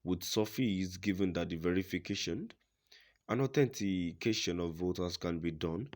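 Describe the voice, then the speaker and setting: A man reading scripted news reports in a formal, deliberate manner as a news reader. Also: deep voice.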